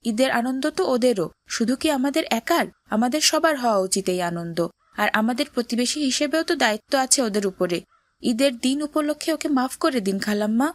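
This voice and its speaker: A woman's voice: a little high, expressive, fairly quick, no room sound, close to an everyday voice. A woman voicing a kind, earnest neighbour boy who talks in a moralising way in a cartoon drama. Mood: earnest, appealing.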